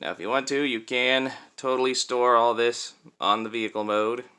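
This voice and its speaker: A man's voice: mid-range voice, fairly even intonation, normal speed, sharp tone, clear, resonant tone. A man giving a casual hands-on demonstration as a toy reviewer, explaining things step by step.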